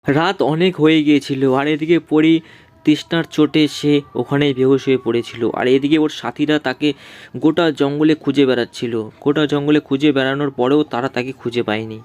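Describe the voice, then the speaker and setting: A man's voice: fairly high pitch, steady tone, fairly quick, darkish tone, highs cut off. A man narrating a scripted story for a cartoon.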